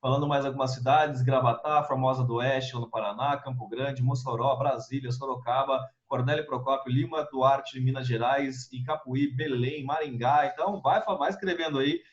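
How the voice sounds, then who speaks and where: A man hosting a live stream, reading viewer messages and passing the floor to his guests, relaxed and hesitating now and then. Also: mid-range voice, moderate pace, hardly any pauses, muffled recording.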